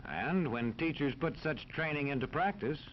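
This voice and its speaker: Casting: male narrator, formal and didactic, animated pitch, on a dull vintage film soundtrack. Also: normal speed. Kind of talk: scripted 1940s educational film narration. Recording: dull old film soundtrack, faint noise.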